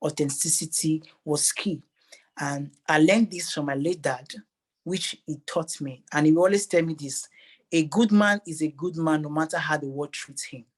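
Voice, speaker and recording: A man's voice: a little high, normal speed. A man with a Nigerian accent speaking earnestly and emphatically as a motivational interview guest, repeating phrases for effect.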